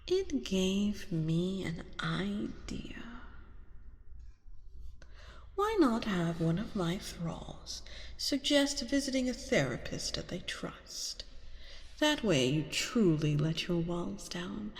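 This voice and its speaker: A woman playing a haughty, seductive vampire queen in an ASMR roleplay, teasing and gloating over her captive with deliberate phrasing and breathy whispers. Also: mid-range voice, big pitch swings, long reverb tail. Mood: smug, coaxing.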